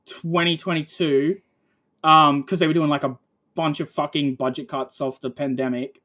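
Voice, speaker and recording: A man in his late twenties with an Australian accent and a high-pitched voice, rambling casually with lots of fillers. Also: little pitch movement, normal speed, pushed and bright, dull audio. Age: 20s. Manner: rambling, filler-heavy storytelling. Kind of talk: casual chat.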